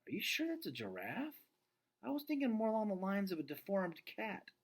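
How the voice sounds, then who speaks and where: A man's voice: high voice, huge pitch swings, normal speed, breathy edge, voice pushed up, exaggerated voice. A man voicing an eager, stubborn, pleading young girl for a storybook read aloud. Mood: insistent.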